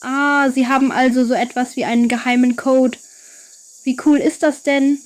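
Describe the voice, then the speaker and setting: A woman's voice: high-pitched, fairly even intonation, moderate pace, close to an everyday voice. A woman voicing a friendly, curious and reassuring young boy in a children's bedtime story.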